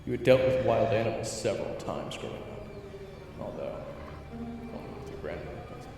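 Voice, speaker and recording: A man delivers dramatic scripted fantasy narration in a lowish, gravelly voice. His intonation is very lively. The recording is heavily echoey with long reverb, and game-style music plays clearly behind him. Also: normal speed. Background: game-style background music.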